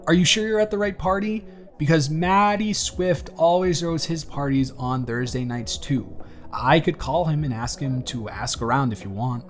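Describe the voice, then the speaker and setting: Guy playing a young college dude talking to his crush, dry n teasing, kinda awkward, casual roleplay audio w music. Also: fairly high pitch. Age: young adult. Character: wry, awkward, kind male college student chatting with crush. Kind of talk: intimate scripted roleplay audio, conversational.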